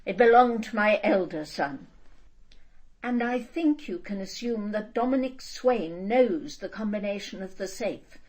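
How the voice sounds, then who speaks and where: A woman's voice: medium pitch, a little mellow, close to an everyday voice. A woman playing a proud, cold elderly aristocrat in a radio drama, speaking with haughty, precise control. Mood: coolly informative.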